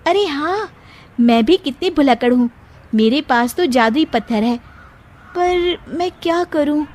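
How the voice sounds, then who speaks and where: A woman's voice: high voice, animated, unhurried, darkish tone, voice pushed up. A woman voicing a resourceful young village daughter-in-law in a cartoon story, earnest and exclamatory. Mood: worried. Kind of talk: cartoon moral-story acting.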